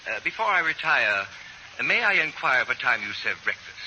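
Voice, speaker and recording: An actor playing a pompous, fussy genius of a live-in sitter in 1940s American radio comedy, with precise, formal, disdainful diction. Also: male voice, medium pitch, sing-song, brisk, sharp tone, rough recording. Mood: coolly formal. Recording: vintage radio broadcast, faint noise.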